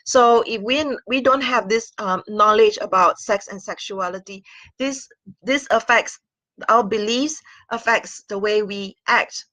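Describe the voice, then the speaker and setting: A woman's voice: medium pitch, measured pace, bright, forceful tone, no echo, rough recording. A woman, talking earnestly to a webcam in a small room, with many fillers and little laughs. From Singapore. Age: 40s. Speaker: sex and intimacy coach. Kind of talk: casual talking-head vlog.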